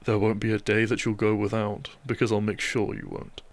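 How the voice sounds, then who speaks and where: A man playing a devoted, tender doctor and newlywed groom in a romantic audio roleplay, speaking softly and earnestly to his beloved. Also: on the low side, little pitch movement, a little fast, gravelly. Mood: loving, earnest.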